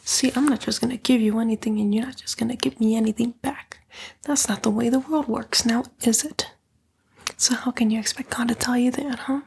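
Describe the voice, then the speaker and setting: A woman's voice: fairly high pitch, fairly even intonation, fairly quick, darkish tone, exaggerated voice. Whispering sly female demon, acted by a woman. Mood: coaxing, sly. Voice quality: whispered, breathy. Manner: coaxing, taunting, casual. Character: female demon, sly fallen angel tempting the listener. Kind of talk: ASMR roleplay.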